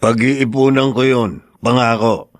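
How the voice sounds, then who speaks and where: A man's voice: medium pitch, level tone, unhurried, no room sound, studio quality, natural voice. An actor playing a middle-aged working-class father in a radio drama, hot-headed yet doting, with a pleading, blustering manner. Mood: remorseful, tender.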